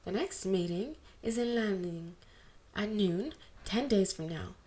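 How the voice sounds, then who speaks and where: A woman voicing a brisk young woman who chairs a fan club meeting, speaking formally as if announcing to a group in a story. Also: medium pitch, expressive, darkish tone, lightly acted. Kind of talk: storybook character voice.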